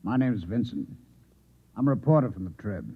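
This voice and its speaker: Polite menacing reporter villain, old radio play, voice swings a lot, very tinny recording. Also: male voice, lowish voice, sing-song, slightly rough, heavily acted. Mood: polite, probing. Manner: formal, measured, insinuating. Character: coolly menacing Martian posing as a male reporter. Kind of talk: 1950s radio drama acting. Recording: thin, narrow vintage radio recording.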